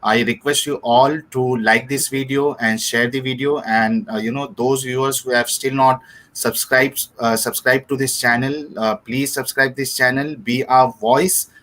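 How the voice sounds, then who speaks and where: A man from India with an Indian accent and an average-pitched voice hosts a livestream. He speaks without a script, politely and a little haltingly. His forms of address are formal and respectful. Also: steady tone, a bit bright. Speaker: livestream host. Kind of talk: unscripted livestream hosting.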